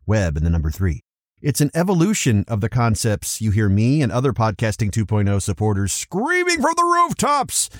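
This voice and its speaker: A man's voice: medium pitch, dry recording, studio quality. A man hosting a solo podcast of scripted reviews and commentary, with a theatrical, playful, emphatic delivery. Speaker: podcast host.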